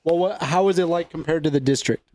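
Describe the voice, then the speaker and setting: A man's voice: on the high side, fast talker, a little mellow, dry recording. Curious man in his late 30s. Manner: curious, asks lots of questions. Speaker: podcast co-host. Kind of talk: casual chat.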